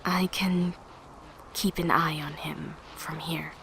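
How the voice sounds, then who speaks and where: A woman's voice: deep voice, flat delivery, slow, creaky, a bit bright, slight background noise, exaggerated voice. A woman acting in an audio drama as a terse, protective survivor with a clipped, reserved manner. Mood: guarded, quiet.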